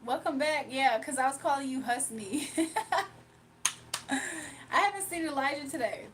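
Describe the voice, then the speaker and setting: Woman streaming off her phone, kinda high voice, chatty, laughs a lot, faint hiss behind. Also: lively intonation, moderate pace. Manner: chatty, laughs often, talks straight to viewers. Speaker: livestreamer. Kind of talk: casual live-stream chat, reading comments aloud.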